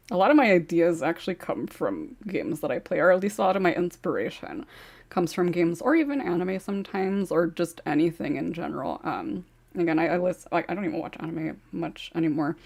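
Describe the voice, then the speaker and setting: Brief: low-voiced woman script writer, chatty and laughing on a podcast. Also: vocal fry, darkish tone. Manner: chatty, laughs often, many fillers. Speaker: ASMR roleplay script writer. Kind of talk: casual podcast chat.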